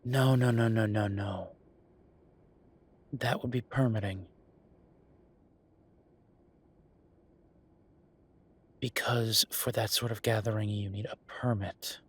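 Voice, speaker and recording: Man acting a husky, low-voiced exasperated bureaucrat. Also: fairly even intonation, a little slow. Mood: patient, insistent. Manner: exasperated, emphatic, repeating himself. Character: exasperated male werewolf bureaucrat, dry and firm. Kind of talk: audio roleplay acting, one side of a phone call.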